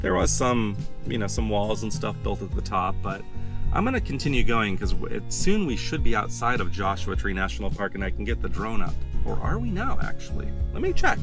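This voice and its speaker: A raspy-voiced man with a fairly low pitch talks through a casual travel vlog, rambling and thinking aloud with plenty of fillers. He is outdoors in the desert, and clear music plays underneath. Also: sing-song, a little fast. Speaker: travel vlogger.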